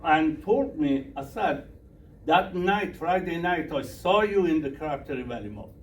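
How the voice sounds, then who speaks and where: Middle aged iranian guy w persian accent, minister asking the room questions, kinda high voice, echoey and distant. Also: lively intonation, a little slow, slight background noise. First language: Farsi. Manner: broken English, repeats questions to the audience. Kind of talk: workshop talk with audience questions.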